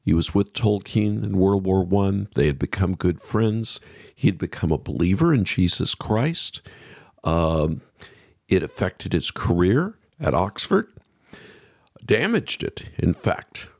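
A man's voice: low-pitched, animated, measured pace, gravelly, darkish tone, no room sound, studio quality. An American man hosting a radio interview programme, introducing things and putting questions to a guest.

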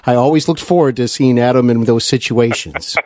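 A man's voice: mid-range voice, a little fast, darkish tone. A man hosting a podcast interview conversationally, polite, agreeable and a little tentative.

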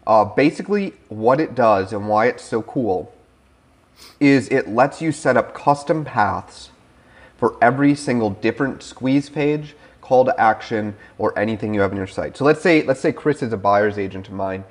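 A man co-hosting a podcast, talking casually with lots of fillers and quick laughs over a remote call. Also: mid-range voice. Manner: fast, filler-heavy, laughing easily. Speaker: co-host. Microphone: remote call mic.

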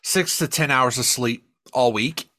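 A man co-hosting a podcast in casual banter, swearing freely and rambling in an animated way. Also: medium pitch, measured pace, no echo. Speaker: podcast co-host.

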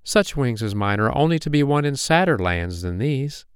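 A man's voice: mid-range voice, big pitch swings, moderate pace, clear, resonant tone, dry recording, studio quality, pitched above the performer's own voice, lightly acted. A man as a stately fairy guide, raised voice. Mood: solemn. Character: glorious tall female fairy, serene and solemn guide. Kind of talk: children's storybook character voice.

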